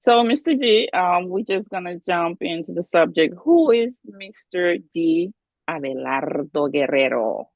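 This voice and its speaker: A woman's voice: on the low side, lively intonation, unhurried, no echo. A woman from the Bronx, hosting an interview in a friendly, easygoing way with lots of fillers. The recording is dry and a bit dull and lo-fi. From the Bronx, New York. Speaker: interview host. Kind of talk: casual interview chat.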